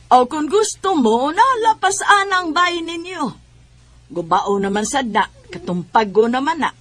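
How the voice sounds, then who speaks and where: A woman's voice: high-pitched, very animated, unhurried, a bit bright, full character voice. A woman playing a heartless, impatient landowner in a radio drama, speaking in a stern, commanding way. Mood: harsh, impatient. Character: heartless, impatient female landowner evicting poor siblings.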